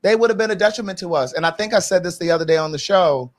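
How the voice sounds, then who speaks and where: Podcast host, man in his late forties, slightly high voice, insistent and repetitive, laughs big. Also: racing. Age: 40s. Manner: emphatic, repeats points, laughs heartily. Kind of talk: casual opinionated chat.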